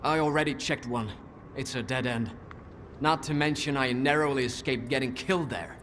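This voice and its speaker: An actor playing a wary, frustrated stalker in a video game, urgent and pessimistic. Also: male voice, mid-range voice, moderate pace, fairly bright tone, close to an everyday voice. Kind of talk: dramatic video game acting. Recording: faint background noise.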